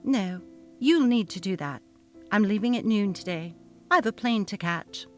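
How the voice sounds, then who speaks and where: Give the boss a slow, deliberate haughtiness as a woman narrator plays her. She is a cold, cutting, bullying female manager in a dramatic audio story, looking down on everyone beneath her. Music plays behind her. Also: mid-range voice, animated, darkish tone, close to an everyday voice. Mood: condescending. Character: snobby, imperious, narcissistic female office manager, cold and bullying. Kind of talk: dramatic reading of a text-message story.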